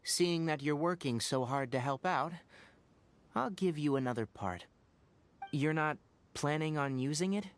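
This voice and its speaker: An actor playing a serious, principled young male forest ranger and former scholar in a video game, speaking in a measured, articulate, earnest way. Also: on the high side. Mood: calm, considerate. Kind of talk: scripted game dialogue.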